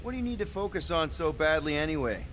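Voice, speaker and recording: A man's voice: fairly high pitch, lively intonation, quick pace, fairly bright tone, slight background noise. A man playing an easygoing young leader of a space crew in a video game, casual, inquisitive and a little awkward. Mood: casual, probing.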